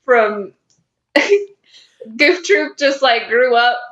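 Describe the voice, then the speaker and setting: Bright-voiced woman rambling casually, slightly muffled recording. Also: on the high side, lively intonation, extremely slow. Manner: giggly, informal, rambling with profanity. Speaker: podcast co-host. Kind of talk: casual fan chat.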